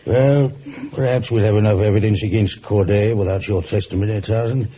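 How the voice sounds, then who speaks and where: A man playing a very mellow, measured judge. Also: lowish voice, steady tone, lightly acted. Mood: genial. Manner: measured, reflective, gentlemanly. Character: genial, measured male judge with somber reticence. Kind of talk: vintage radio drama acting. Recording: muffled, hissy old radio recording.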